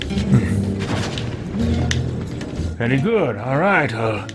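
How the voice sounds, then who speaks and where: A man acts out a villainous mage who lords it over his servants in a game roleplay. He speaks slowly and grandly, with theatrical swoops in pitch. He is pompous and power-hungry, and music plays loudly behind him. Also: sing-song. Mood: smug. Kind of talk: in-character video game roleplay.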